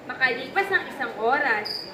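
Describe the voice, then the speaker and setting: A woman's voice: sits high, animated, moderate pace, crowd noise. A young woman narrating a puppet show for children, reading a scripted story. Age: young adult. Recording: echoey room with background noise.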